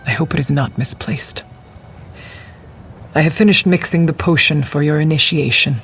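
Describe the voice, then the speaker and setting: Higher-voiced, solemn ritual attendant. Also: male voice, normal speed, fairly bright tone, faint music behind. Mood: grave, instructive. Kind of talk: video game character acting.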